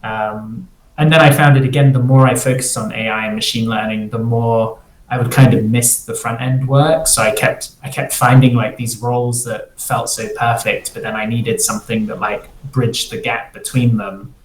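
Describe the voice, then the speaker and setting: A man's voice: medium pitch, steady tone, moderate pace. A man who grew up in Singapore, a freelance developer, talking casually in an interview and rambling with many restarts. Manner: rambling, restarts often, fills with "like". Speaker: guest, freelance front-end developer. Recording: roomy echo, faint ambient noise.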